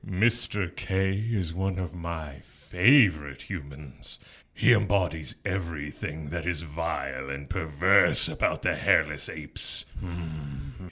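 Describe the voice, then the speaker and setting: A sardonic devil in an audio drama. His voice is very low and unhurried, savouring every wicked word with taunting relish. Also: fairly bright tone, husky, exaggerated voice.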